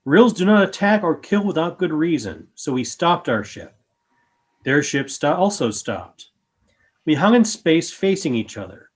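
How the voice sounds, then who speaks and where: A calm alien creature from a radio play, acted by a man. The voice sits a little high and speaks formally, with grave, measured phrasing. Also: husky, heavily acted. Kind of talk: fan radio play acting.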